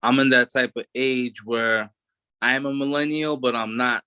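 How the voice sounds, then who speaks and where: A man's voice: medium pitch, normal speed, clear, resonant tone, dry recording. A man in his thirties with a New York accent, talking casually as an interview guest with lots of fillers and restarts. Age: 30s. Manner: relaxed street talk with many fillers and restarts.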